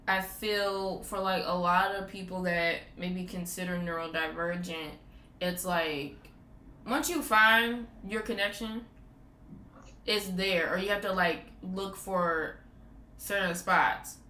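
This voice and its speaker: A woman's voice: mid-range voice, fairly even intonation, slow pace, sharp tone. A woman hosting a casual podcast chat, rambling with lots of "like" fillers. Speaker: podcast host. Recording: roomy echo with faint background noise.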